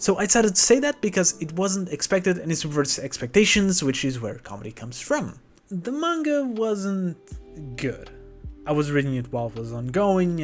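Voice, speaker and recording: A man hosting a scripted commentary video, talking with dry sarcastic jokes. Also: on the high side. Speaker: manga video essayist.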